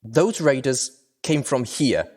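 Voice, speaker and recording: A man's voice: mid-range voice, expressive, takes it slowly, a little mellow, pitched above the performer's own voice. A man voicing a small young female pony, an earnest and determined adventurer who speaks emphatically and pleadingly. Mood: grim.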